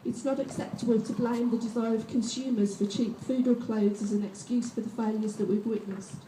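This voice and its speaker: A woman reads steadily from her notes at a public rally. Her voice is mellow and slightly high. She sounds far from the mic, in an echoing space. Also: fairly even intonation, fairly quick, slight background noise. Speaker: rally organiser introducing speakers. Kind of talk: read-out speech.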